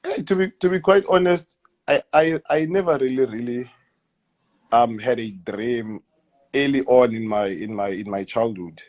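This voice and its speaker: A man in his thirties with a South African accent, answering interview questions in a hesitant, halting way, often repeating words and letting sentences trail off quietly. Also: mid-range voice. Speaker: guest footballer. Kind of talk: casual interview.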